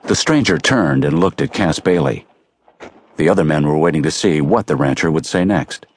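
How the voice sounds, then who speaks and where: A man's voice: a bass voice, animated, normal speed. A man narrating a dramatized western audiobook as scripted storytelling.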